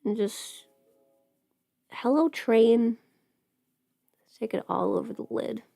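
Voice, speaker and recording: A woman's voice: fairly high pitch, slow pace, vocal fry, mellow, no echo. A woman livestreaming in casual, rambling chat as she does her makeup, informal and mildly sweary. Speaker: livestream host.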